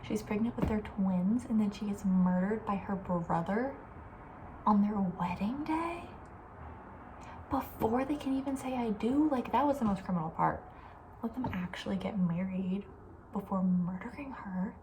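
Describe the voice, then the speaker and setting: A woman talking softly and breathily in a casual, opinionated ASMR ramble, often slipping toward a whisper. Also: medium pitch, normal speed, background noise. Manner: chatty, informal, opinionated, trailing off. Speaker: ASMR video host. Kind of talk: soft-spoken ASMR, casual rambling chat.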